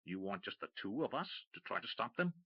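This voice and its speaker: A man's voice: fairly low pitch, big pitch swings, brisk, slightly rough, highs cut off. A man voicing a wry, skeptical young male rogue who speaks in a whisper. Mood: doubtful whisper.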